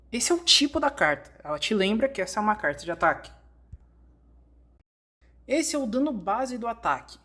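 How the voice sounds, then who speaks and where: A woman's voice: medium pitch, a bit bright, breathy edge. A woman with a Brazilian accent reads scripted instructional narration, explaining things step by step as in a fan-dubbed tutorial.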